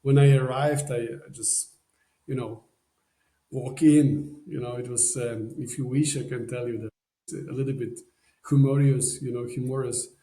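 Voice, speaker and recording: A man's voice: medium pitch, steady tone, normal speed, warm tone, roomy sound, poor audio. A man from Poland with a Polish accent, a podcast guest telling a story in casual, rambling talk full of "you know" fillers. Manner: rambling, many "you know" fillers, non-native phrasing.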